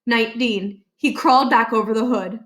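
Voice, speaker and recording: A woman with a fairly high voice narrates a children's novel. She reads aloud expressively, as a storybook reader. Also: moderate pace, a bit bright.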